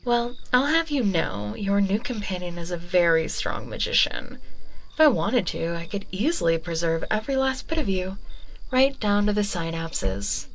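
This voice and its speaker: A possessive witch, played by a woman, croons to her new familiar in a soft, sweetly condescending whisper. Her voice sits at a middling pitch with a gravelly, fry-laden edge. The audio roleplay is quiet and close. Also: rough recording. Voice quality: frequent whispers. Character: doting, manipulative witch taming a new familiar.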